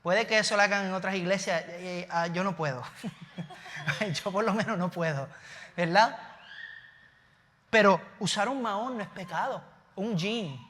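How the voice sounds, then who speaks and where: A Puerto Rican pastor with a Puerto Rican Spanish accent preaches from a church stage, mixing earnest points with casual asides and quick self-corrections. His voice sits high and sounds bright and forceful. A long hall reverb trails behind his words. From Puerto Rico. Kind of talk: sermon.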